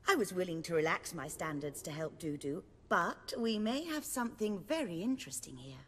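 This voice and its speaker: A woman playing a refined, self-assured stage actress and director in a video game, speaking in a measured, articulate, theatrical way. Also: on the low side, lively intonation, in a large hall. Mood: pleased, considering. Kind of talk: video game dialogue acting.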